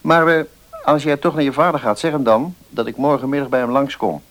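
A man's voice: medium pitch, fairly even intonation, brisk, natural voice. Male actor doing a middle-aged notary guy, radio drama, careful and reasoning, kind but won't budge. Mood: patient, firm. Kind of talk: Dutch radio play acting.

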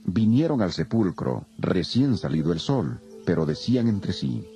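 A man's voice: low voice, very animated, a little slow, creaky, mellow. A man narrating scripture as a solemn, dramatized scripted reading. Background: music.

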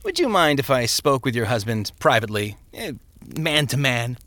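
A man's voice: mid-range voice, sing-song, moderate pace, bright, forceful tone, no echo. A man voicing a smug, falsely genial parson in an audiobook story, talking down in a condescending way. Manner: condescending, hesitating mid-phrase.

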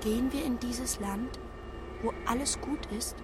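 A woman's voice: a little high, unhurried, very noisy, natural voice. An actress playing a naive young female artificial intelligence in a radio drama, speaking in a literal, earnest way. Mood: naive, curious. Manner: literal, matter-of-fact, questioning. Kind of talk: scripted radio drama acting. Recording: music behind the voice.

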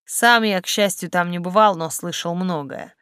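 A roguish young male agent with a rueful, teasing streak, read by a woman. It is an audiobook character in a fairly low voice with a dry sound. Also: moderate pace, fairly bright tone, smooth, dry recording, natural voice.

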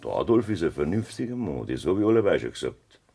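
An actor with a Bavarian accent playing a cruel, scheming farmer husband in a 1970s radio play, with a gravelly, husky voice. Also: male voice, low-pitched, big pitch swings, fast, warm tone, exaggerated voice. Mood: menacing.

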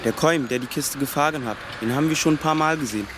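A man's voice: on the high side, quick pace, natural voice. Guy playing streetwise teen boy in radio drama, chummy slangy talk, faint traffic noise outside. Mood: chummy, confiding. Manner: casual, slangy. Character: streetwise, friendly teenage boy from the housing estate.

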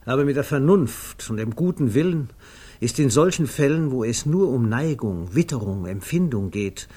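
A man narrating a literary 1950s radio play in a measured, deliberate, storytelling way. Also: mid-range voice, expressive, warm tone, breathy edge. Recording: faintly noisy vintage radio recording.

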